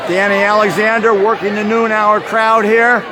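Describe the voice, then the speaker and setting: A man walking through a campus crowd, giving halting live commentary on a protest with many "uh" fillers. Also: sits high, level tone. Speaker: protest livestreamer. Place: outdoors on a busy university campus. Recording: noisy crowd outdoors. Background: loud student crowd.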